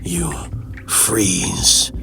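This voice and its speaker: A man playing a stern, determined masked vigilante detective in a comic dub, speaking in a grim, measured, pointed way. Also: whispered. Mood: stern, challenging. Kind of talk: dramatic comic dub acting. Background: music.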